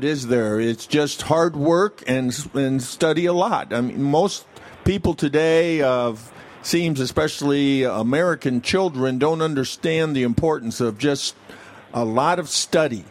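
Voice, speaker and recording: A male radio interviewer with a slightly high voice who leads his guest with summing-up questions. The sound is a bit dull and lo-fi. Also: measured pace.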